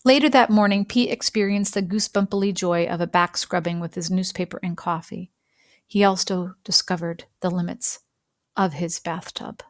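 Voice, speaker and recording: Unhurried woman reading a children's storybook. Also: lowish voice, no room sound, studio quality. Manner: measured, dramatic phrasing with deliberate pauses. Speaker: storybook reader. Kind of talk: expressive read-aloud narration of a children's picture book.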